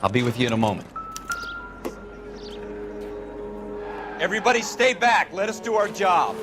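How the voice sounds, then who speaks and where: An actor playing a brisk, streetwise American beat cop giving colloquial instructions in a 1940s crime drama video game. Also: male voice, sits high, sing-song, music in the background. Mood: brisk, commanding. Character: brisk, streetwise male beat cop, crude and helpful colleague.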